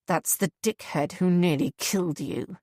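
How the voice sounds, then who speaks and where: A woman voicing a gruff, husky man. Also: lowish voice, a little mellow, no room sound, studio quality, lightly acted. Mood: angry. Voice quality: husky, growling. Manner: blunt, sarcastic, quick to anger. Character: gruff protective adult man, teasing brother.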